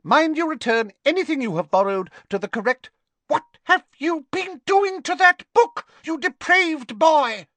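A man's voice: animated, unhurried, bright, forceful tone, dry recording, heavily acted. A man voicing a stern old woman librarian who hisses and shrieks in outrage. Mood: outraged. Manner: shrill and hissing.

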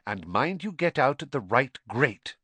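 A fretful, fussing middle-aged witch mother, put on by a male reader, her voice pitched well up and lilting as she coaxes and frets. The sound is close and dry, with no room around it, for a storybook reading. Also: sing-song, a little slow, sharp tone, slightly rough, dry recording, exaggerated voice. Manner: fretful, coaxing, high and lilting.

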